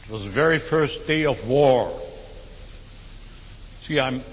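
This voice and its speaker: A man in his eighties whose first language is German, giving earnest testimony to an audience, slow and deliberate, rising to emphatic swells and dropping to quiet near-whispers. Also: medium pitch, big-room sound, a little hiss or hum, low-quality recording. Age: 80s. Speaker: war veteran speaker.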